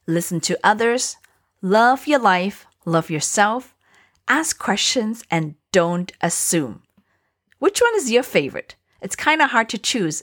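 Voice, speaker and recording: A woman hosting a podcast, reading a scripted, upbeat wrap-up and asking listeners questions. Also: mid-range voice. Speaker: podcast host.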